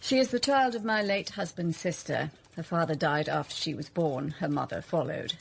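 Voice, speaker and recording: Cold, disdainful lady of the house, low creaky voice, period radio drama, faint noise. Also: moderate pace. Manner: haughty, clipped, disdainful. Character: cold, haughty, spiteful Victorian aunt, lady of house.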